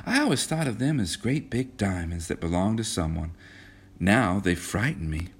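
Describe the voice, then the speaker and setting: A man's voice: fairly low pitch, very animated, moderate pace, husky. A man voicing a naive, innocent, dreamy young rich girl in a storybook reading. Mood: wistful.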